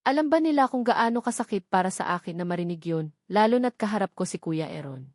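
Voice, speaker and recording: A woman's voice: medium pitch, expressive, fast, darkish tone, no room sound. Filipino woman narrating romance drama, dry sound. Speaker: narrator of audio love stories. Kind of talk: scripted first-person romance drama narration.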